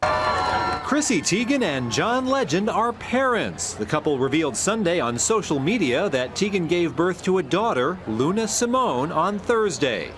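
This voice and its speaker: A man's voice: high voice, big pitch swings, measured pace, noisy background. A man giving a scripted entertainment news report as a correspondent. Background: music under the voice.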